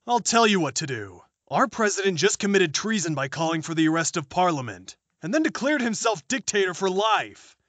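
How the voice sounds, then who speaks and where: Man playing an outraged politician, high and volatile. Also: big pitch swings, a little fast, fairly bright tone, dry recording. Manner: agitated, dramatic. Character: outraged, despairing male parliamentarian. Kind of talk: audio drama acting.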